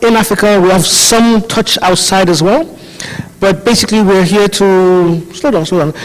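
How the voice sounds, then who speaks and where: A man's voice: high-pitched, steady tone, normal speed. Man with a foreign accent, conference hall. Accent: foreign, European-tinged. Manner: casual, chatty, self-correcting. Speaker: conference speaker. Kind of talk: conference presentation. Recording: hall reverb from a large room.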